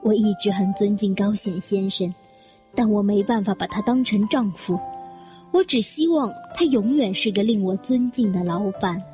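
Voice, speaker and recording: A woman acts out a plain, self-deprecating secretary in an audio drama. She broods wistfully in inner monologue at an average pitch, with prominent music behind her. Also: normal speed, dark tone, lightly acted. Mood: resigned.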